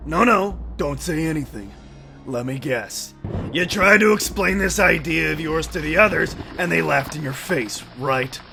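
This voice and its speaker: A man's voice: a little high, animated, unhurried, vocal fry, bright, forceful tone, exaggerated voice. An actor playing a shrewd, folksy, grizzled leader of vampire-hunting outlaws in a fantasy audio drama, needling as he talks. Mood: mocking. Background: music.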